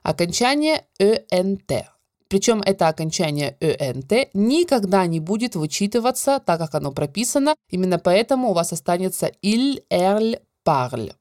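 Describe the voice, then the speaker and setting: A woman's voice: mid-range voice, sing-song, a little mellow, no echo, studio quality. Woman teaching French grammar in Russian. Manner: clear, measured explaining, drawn-out example words. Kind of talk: scripted grammar lesson narration.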